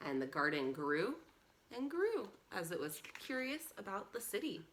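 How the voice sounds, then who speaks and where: A woman's voice: mid-range voice, big pitch swings, normal speed. A woman presenting a read-along story for young children, reading expressively and adding encouraging asides to her little listeners.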